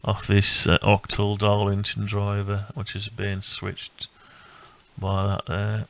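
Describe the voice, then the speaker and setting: Guy with a low gravelly voice rambling thru his project, pretty monotone, thinking out loud. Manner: thinking aloud, halting and rambling. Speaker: electronics hobbyist presenting own build. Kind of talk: casual unscripted project walkthrough.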